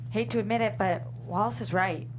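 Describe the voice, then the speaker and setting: A woman plays a clever eighteen-year-old girl with a dry, sardonic delivery. Her voice sits at a medium pitch in a comedy script reading, with clear hum behind it. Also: fairly quick, lightly acted. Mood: wry. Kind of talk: comedy screenplay table read. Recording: humming, clearly noisy room.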